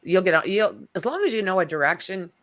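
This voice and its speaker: A woman hosting a casual livestream chat, talking in a relaxed, rambling way with lots of fillers. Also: fairly low pitch, quick pace. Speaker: livestream host.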